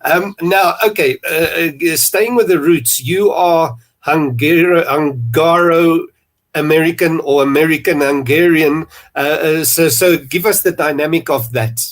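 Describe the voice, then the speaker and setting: A man's voice: on the high side, measured pace. A man with a South African accent hosting a podcast interview, rambling and restarting with plenty of fillers. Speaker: podcast host. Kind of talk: conversational interview.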